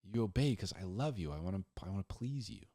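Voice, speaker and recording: A man's voice: low-pitched, animated, quick pace, mellow, breathy edge. A man giving casual, conversational Bible teaching in slangy, informal speech, repeating words for emphasis and acting out little dialogues. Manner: acts out imagined back-and-forth dialogues.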